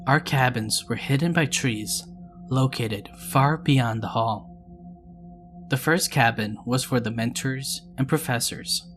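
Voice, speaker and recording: Guy narrating scary stories, calm and measured, medium voice, faint music under it. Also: medium pitch. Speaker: storytelling channel host.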